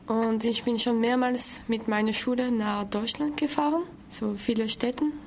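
A woman's voice: fairly high pitch, fairly even intonation, moderate pace, darkish tone, slight background noise. An eighteen-year-old woman from Alsace in France, a language student introducing herself plainly.

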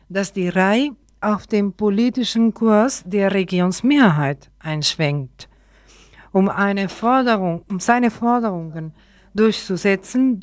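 A woman reads scripted radio news at a slow, measured pace. Her voice sits at a medium pitch and sounds close and dry, with hardly any room around it. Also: no echo. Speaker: radio newsreader.

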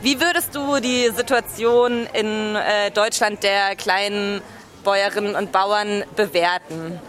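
A woman's voice: medium pitch, fairly even intonation, slow pace, a bit bright. A woman asking questions as a street interviewer at an outdoor market. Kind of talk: vox pop interview questions.

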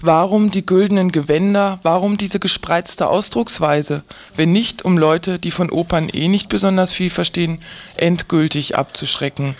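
A woman's voice: on the low side, normal speed. A woman reading a scripted, critical commentary for a radio programme.

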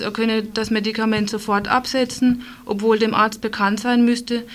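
Woman with a higher-pitched voice reading aloud. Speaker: radio presenter reading out a statement. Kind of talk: reading a written statement aloud.

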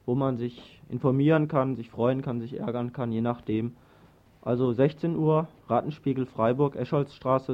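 A man speaking as a radio host, making announcements. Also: medium pitch. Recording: dull lo-fi 1980s radio recording.

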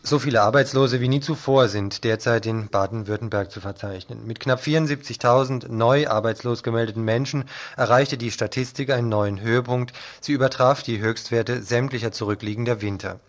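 Man, news presenter, scripted commentary, mid-pitched voice, critical and pointed delivery. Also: fairly quick, few pauses.

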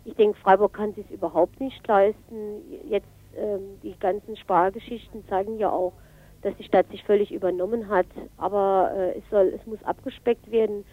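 A woman's voice: mid-range voice, steady tone, moderate pace. Phone-line woman giving a political assessment. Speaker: interviewee, local politician. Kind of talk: radio interview, spontaneous explanation.

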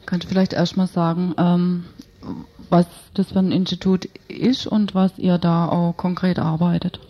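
A woman's voice: lowish voice, level tone, moderate pace, dark tone. A woman interviewing informally in a radio studio, on a dull lo-fi recording.

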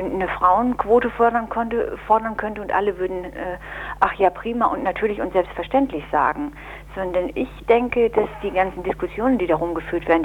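A woman being interviewed as an official, answering in deliberate, formal and analytical phrases. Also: mid-range voice, phone-quality sound, slight background noise. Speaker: interviewed women's equality officer. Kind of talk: spoken radio interview answers.

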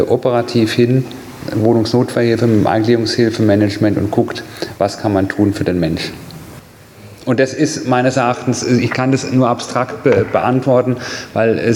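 A man's voice: mid-range voice, a little mellow. A man answering as an official in a committee meeting, explaining things off the cuff. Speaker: city administration official. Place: large indoor meeting room. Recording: echoey meeting hall with clear background noise.

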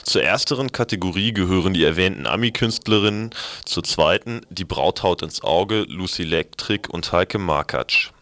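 A man's voice: deep voice, a bit bright, no echo. A man presenting on radio, reading a scripted magazine commentary aloud.

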